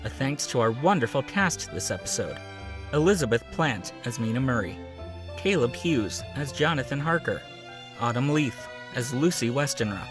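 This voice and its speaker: A man's voice: medium pitch, lively intonation, normal speed, music in the background. Man reading a scripted podcast outro. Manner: clear, promotional read. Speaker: podcast announcer.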